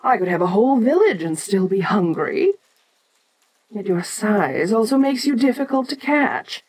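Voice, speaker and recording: A woman playing an ancient, domineering giantess kaiju queen in an audio roleplay, imperious and teasing yet protective, speaking with deliberate pauses. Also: mid-range voice, sing-song, a little mellow, husky, poor audio. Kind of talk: second-person audio roleplay acting.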